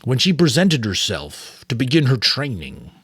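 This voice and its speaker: A man voicing a weary, wise old male mentor from an audiobook novel, gentle and measured. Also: medium pitch, huge pitch swings, breathy edge, no room sound, clean studio sound, voice pushed up, full character voice. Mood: wistful.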